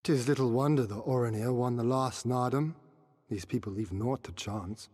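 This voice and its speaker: An actor playing a young exiled prince in a video game, earnest and stoic, with formal archaic diction and a measured, oratorical delivery. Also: male voice, medium pitch, darkish tone, long reverb tail, lightly acted. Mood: grave, measured. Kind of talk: cinematic video game voice acting.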